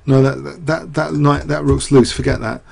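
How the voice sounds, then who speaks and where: Gravelly, husky man analyzing chess. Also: mid-range voice, fairly even intonation, moderate pace, a little mellow. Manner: rambling, thinking aloud with many fillers and rhetorical questions. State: losing his voice. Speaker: chess commentator.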